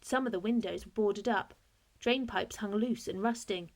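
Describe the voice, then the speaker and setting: A woman reading a children's story aloud in expressive narration, like a teacher to a class. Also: mid-range voice, normal speed.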